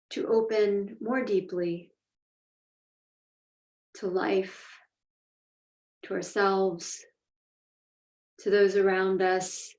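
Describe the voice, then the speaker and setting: A woman leads a guided meditation at a medium pitch. She speaks deliberately, leaving space between short phrases. The recording is dull and roomy, with her voice set back from the microphone. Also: a little mellow. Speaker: meditation teacher. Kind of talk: guided meditation, slow instruction. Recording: echoey room, distant mic, dull sound.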